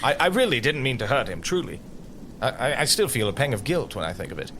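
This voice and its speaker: An earnest knight of audio drama, with a mid-range, very expressive voice. He speaks solemnly, as one who holds to virtue, over faint outdoor ambience. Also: mid-range voice, fast talker, a little hiss or hum. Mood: solemn, pained.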